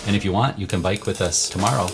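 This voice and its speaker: A man narrating a documentary in a relaxed, conversational storytelling way, trading lines with a partner. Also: low-pitched, fast talker, over music.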